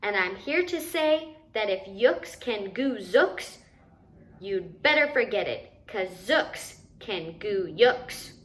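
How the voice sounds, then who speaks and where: Woman reading as slow, taunting enemy soldier. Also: medium pitch, animated. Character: threatening male enemy soldier, taunting and boastful. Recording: noticeable background noise.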